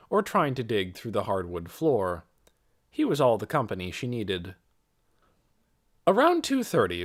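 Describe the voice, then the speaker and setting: Man reading a story with lively pitch. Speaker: audiobook narrator. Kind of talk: scripted storybook narration.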